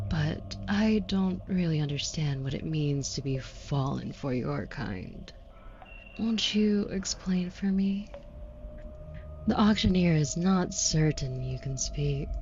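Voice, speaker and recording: A woman's voice: sits low, darkish tone, highs cut off, slight background noise, full character voice. A woman playing a haughty, predatory demon buyer in an intimate audio roleplay, taunting with condescending, mock-sweet cruelty. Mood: curious, coaxing.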